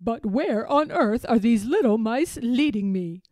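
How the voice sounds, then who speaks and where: An earnest, courteous elephant from a children's storybook, voiced by a woman. The delivery is emphatic and expressive, in a rounded, mellow tone with little room sound. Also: a little high, unhurried, no room sound, studio quality. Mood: puzzled.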